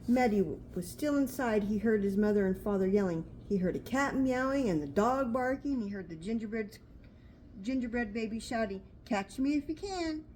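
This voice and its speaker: A woman's voice: medium pitch, normal speed, darkish tone, slight background noise, poor audio. An older woman, a grandmother reading a storybook aloud to a child in a homely, chatty way. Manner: homely, chatty, pointing things out.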